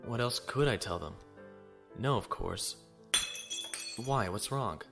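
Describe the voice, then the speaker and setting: A man's voice: on the low side, animated, slow, creaky, music in the background, full character voice. A man playing a conflicted, honorable young naval officer in a sci-fi audio drama, earnest and brooding. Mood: earnest, troubled.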